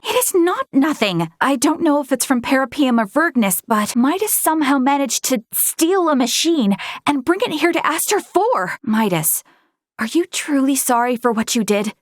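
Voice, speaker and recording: A woman's voice: sits high, very animated, moderate pace, dry recording. An actress playing an earnest, polite and conscientious young female Federation officer in a video game. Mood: indignant, stern. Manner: polite, conscientious, sometimes hesitant.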